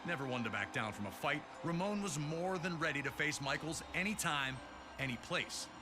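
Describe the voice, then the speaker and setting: A man's voice: on the high side, animated, normal speed, heavy background noise, natural voice. A man narrating wrestling history in a dramatic, hyped announcer style, reading from a script. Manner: emphatic, hyped announcer delivery. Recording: music bed under the voice. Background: music.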